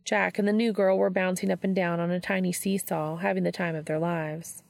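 Creaky-voiced woman narrating a story. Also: on the low side, fairly even intonation, brisk, darkish tone, dry recording, studio quality. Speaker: audiobook reader of fan fiction.

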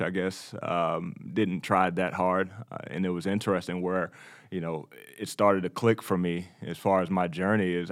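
Low-voiced American man telling his story. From Texas, United States. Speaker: podcast co-host. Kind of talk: casual personal storytelling.

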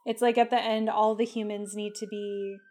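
A woman's voice: mid-range voice, little pitch movement, normal speed, darkish tone. A woman co-hosting a podcast, talking things through in a casual, thoughtful way with many "like" fillers. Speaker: podcast co-host. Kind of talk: casual analytical chat.

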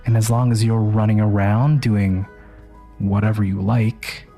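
A man's voice: on the low side, steady tone, mellow. A smug heir in a crime-family audio drama, cold and condescending. He takes his time over every phrase, drawing out the contempt with mockery, as music plays underneath. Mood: menacing disdain. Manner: mocking, drawn-out, contemptuous.

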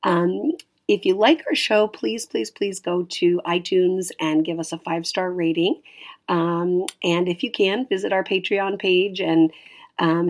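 A woman's voice: lowish voice, a little mellow. A woman hosting a podcast, giving an informal, hesitant and appreciative announcement to listeners. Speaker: podcast creator and host.

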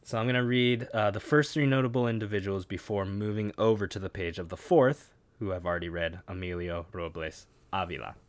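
A man with a fairly low voice co-hosts a relaxed podcast. He reads passages aloud from a web page and keeps breaking off for wry asides. The sound is close and dry, with no room around it. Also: a little fast, hardly any pauses, no echo. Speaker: podcast co-host. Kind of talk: casual chat, reading aloud from a web page.

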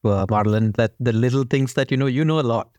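A man's voice: medium pitch, brisk, darkish tone, dry recording. A young man hosting an interview podcast, chatting casually in a friendly, rambling way full of fillers. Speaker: podcast host.

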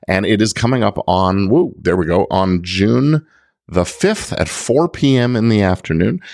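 A man's voice: sits low, dry recording, clean studio sound. A middle-aged man hosting a podcast, explaining things step by step to listeners with emphasis on key words. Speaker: podcast host; lawyer.

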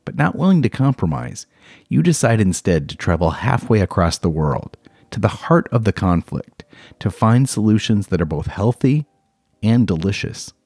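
A man introducing a podcast episode in a measured, dramatic scripted narration. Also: lowish voice, very animated, a little mellow, slightly rough, dry recording, clean studio sound. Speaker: podcast host.

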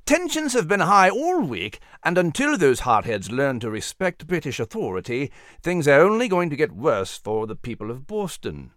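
A man's voice: fairly high pitch, huge pitch swings, measured pace, no room sound, studio quality. A man voicing a stern, irritable British army captain with a formal, clipped manner in a historical storybook. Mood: angry.

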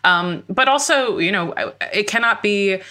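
A woman's voice: medium pitch, expressive, unhurried, pushed and bright. A woman from Florida co-hosting a political talk show, speaking emphatically and fluently with frequent ums. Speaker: co-host.

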